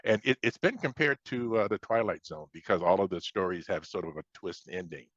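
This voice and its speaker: A man's voice: sits low, fairly quick, fairly bright tone, dry recording, dull audio. An American man in his seventies from Chicago talking casually as an interview guest, hesitating and repeating words as he reminisces. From Chicago, United States. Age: 70s.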